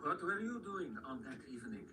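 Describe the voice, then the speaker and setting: A man's voice: on the high side, very animated, brisk. A man acting a grave priest who questions witnesses in a radio drama, in a distant, noisy recording. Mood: solemn, probing.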